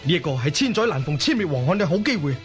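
An eager Cantonese-speaking junior officer played by a male actor rattles out his lines urgently in a fairly high voice. It is radio drama acting, with music clearly playing behind him. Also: sing-song, quick pace. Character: eager younger brother and officer of a warlord.

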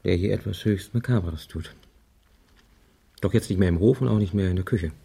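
An actor playing a confident husband who speculates over grim clues in a conversational, assertive way in a radio crime drama. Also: male voice, low-pitched, normal speed, vocal fry, dark tone, slightly rough, heavily acted. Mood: grimly certain.

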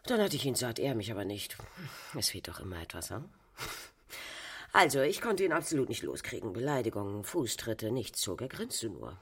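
A woman's voice: rumbling low, very animated, normal speed, breathy edge, heavily acted. A woman acting a sardonic, languid 1920s Parisian prostitute in a radio play, drawling and dismissive. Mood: scornful recounting.

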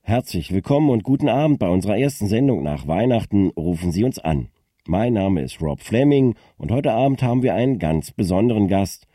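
An actor playing a formal, composed radio phone-in host who prompts and probes, in a radio drama. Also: male voice, lowish voice, animated, brisk, mellow, no echo, studio quality. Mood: composed, pointed. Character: smooth, probing local radio phone-in host.

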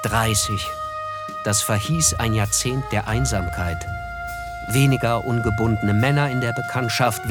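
A man's voice: on the low side, close to an everyday voice. Actor as reflective male narrator over music. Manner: reflective, measured. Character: reflective, melancholy thirty-year-old male narrator of a novel. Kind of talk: literary radio play narration.

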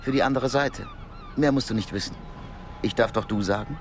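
An actor playing a controlled, commanding secret agent in a naturalistic radio drama. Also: male voice, mid-range voice, a little fast, background noise, natural voice. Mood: frank.

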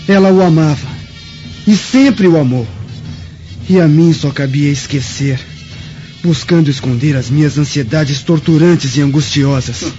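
An actor with a Brazilian accent playing a tormented, noble Roman brother, solemn and declamatory in radio drama style. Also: male voice, a little high, measured pace, mellow, close to an everyday voice. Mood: wounded, earnest. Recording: muffled radio drama with music.

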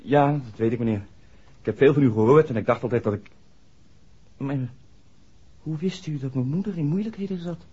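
An actor playing a polite, guarded young garage mechanic with a Netherlands Dutch accent in a 1960s radio drama. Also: male voice, mid-range voice, moderate pace, pauses often, mellow, breathy edge. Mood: guarded, wary. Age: young adult. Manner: deferential, reticent. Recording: muffled old radio recording.

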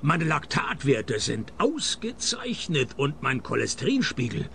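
An actor playing a laconic private detective in a radio play, narrating and wisecracking in a dry, sardonic, gravelly deadpan. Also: male voice, mid-range voice, measured pace, a little hiss or hum, heavily acted.

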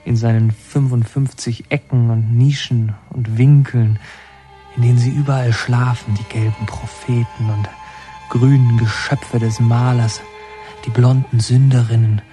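An actor playing a young man narrating his memories in a literary radio drama, measured and intimate. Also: mid-range voice, mellow, close to an everyday voice. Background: music.

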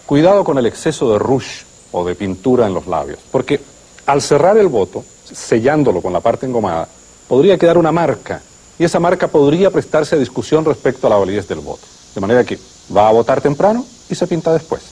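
A man with a Chilean accent and a husky voice explaining instructions in a broadcast address. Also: mid-range voice, very animated, fairly quick, mellow.

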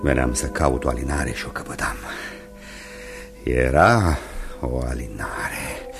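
An actor playing a haunted, secretive gentleman opium smoker in a radio play, speaking in a brooding, halting, dramatic way. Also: extremely low pitch, over music. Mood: haunted, intense. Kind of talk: radio theatre acting.

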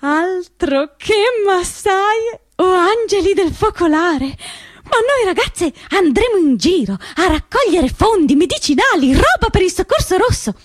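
A woman's voice: very high voice, sing-song, a little slow, dry recording, studio quality, voice pushed up, exaggerated voice. A woman on stage playing a commanding partisan organiser who rouses young women, emphatic and conspiratorial. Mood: rousing. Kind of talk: theatrical stage monologue.

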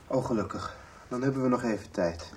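A man's voice: mid-range voice, fairly quick, slight background noise, close to an everyday voice. An actor playing an earnest, doubting young man in a radio soap, speaking in a hesitant, sentimental way. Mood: casual, teasing.

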